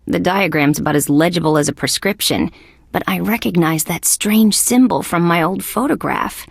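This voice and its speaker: A woman's voice: fairly low pitch, normal speed, gravelly, slightly rough, dry recording. A woman voicing a wry, sardonic female insect police detective in a video game, with dry, sarcastic wisecracking delivery. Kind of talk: scripted adventure game voice acting.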